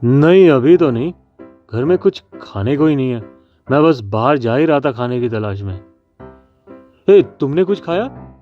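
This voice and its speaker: A man voicing a friendly, quick-witted small animal in a children's cartoon story, polite and persuasive. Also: medium pitch, lively intonation, natural voice. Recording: dull lo-fi sound with faint music. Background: faint music.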